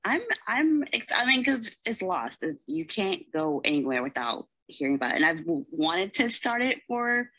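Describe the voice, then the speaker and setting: A woman's voice: on the low side, big pitch swings, normal speed, few pauses, a bit bright, low-quality recording. A woman speaking informally in a casual podcast conversation. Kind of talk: casual chat.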